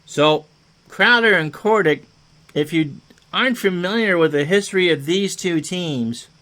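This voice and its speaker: A man's voice: high voice, normal speed, sharp tone. A man doing chatty play-by-play hockey commentary, mixing clipped calls of the action with joking asides.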